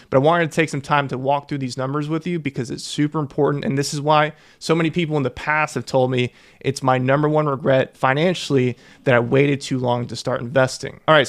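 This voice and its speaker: Earnest man explaining investing numbers. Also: mid-range voice. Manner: earnest, repeats key figures for emphasis. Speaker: financial educator. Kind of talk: explainer to camera.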